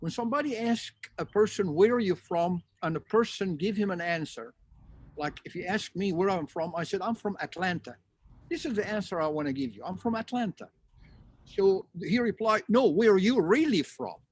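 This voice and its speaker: High-pitched man with Egyptian accent. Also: fast talker, no echo. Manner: animated, acts out imagined exchanges. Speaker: symposium speaker. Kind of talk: informal panel discussion. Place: video call.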